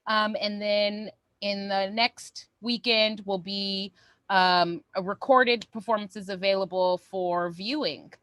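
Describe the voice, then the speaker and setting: A woman's voice: medium pitch, steady tone, takes it slowly, fairly bright tone, dry recording. A woman co-hosting a theatre company's online show, giving casual, upbeat announcements to viewers in an informal way.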